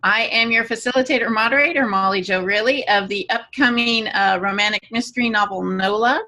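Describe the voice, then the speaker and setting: Bright, high-voiced woman hosting a podcast. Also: a little fast. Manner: playful, teasing banter. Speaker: podcast host and moderator.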